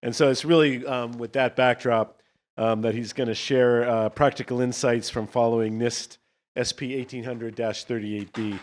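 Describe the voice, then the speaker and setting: Guy hosting a conference, mid-range voice, introducing next speaker kinda haltingly. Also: medium pitch, steady tone. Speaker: session host introducing a speaker.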